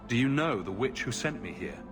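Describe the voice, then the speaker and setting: A man acting a direct, lively-pitched young adventurer. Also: brisk, over music, natural voice. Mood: direct, curious. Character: self-assured, direct human male adventurer hero. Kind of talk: video game voice acting.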